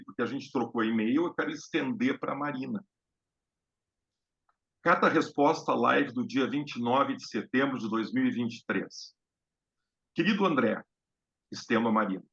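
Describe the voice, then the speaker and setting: A man from Brazil hosts a casual live-stream lecture, stopping to restart his sentences and filling pauses as he goes. His voice has a gravelly edge. The sound is dull and lo-fi, like a home stream. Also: medium pitch, fairly bright tone. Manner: hesitant, many fillers and restarts. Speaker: host of a live talk on music therapy.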